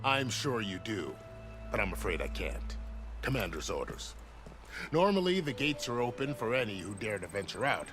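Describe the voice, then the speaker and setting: An actor playing a genial, wry second-in-command army officer in a video game, courteous and gently teasing. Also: male voice, on the low side, big pitch swings, moderate pace. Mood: wry, explanatory. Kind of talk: video game cutscene acting. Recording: music behind the voice.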